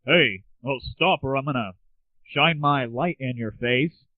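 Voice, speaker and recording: Man as bumbling mall cop, self-important, halting, middle pitch, narrow lo-fi audio. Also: no echo, close to an everyday voice. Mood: blustering. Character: dim, self-important overweight rent-a-cop. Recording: tinny phone-like audio, very dry.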